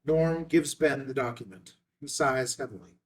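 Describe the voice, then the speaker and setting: A man's voice: mid-range voice, normal speed. A man narrating scripted stage directions for a screenplay read, recorded at some distance from the microphone.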